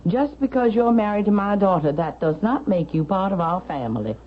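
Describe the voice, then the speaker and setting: A woman's voice: medium pitch, expressive, fairly quick, slight background noise, close to an everyday voice. Imperious, demanding older matriarch, played by a woman, 1970s radio drama, narrow tinny recording. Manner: haughty, demanding, sharp-tongued. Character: imperious, indignant older Southern mother recovering in hospital.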